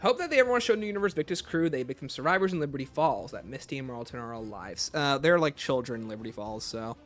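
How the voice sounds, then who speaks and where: A man's voice: mid-range voice, animated, fast talker, soft background music. A man hosting a livestream, chatting casually as he takes viewer questions and answers them offhand. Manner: reads questions aloud, then answers offhand. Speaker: livestream host.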